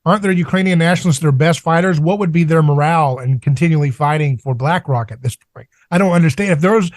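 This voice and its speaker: A man's voice: on the high side, quick pace, no room sound. An American man interviewing casually about politics, informal and questioning in manner.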